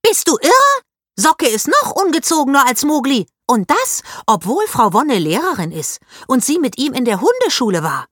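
A woman reading aloud as a bossy know-it-all schoolgirl who talks in a shrill, indignant way. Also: very animated, moderate pace, a bit bright, no echo, clean studio sound.

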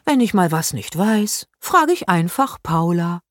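A woman's voice: on the low side, very animated, unhurried, no echo, studio quality. A woman reading aloud as a cheerful, kindly woman teacher.

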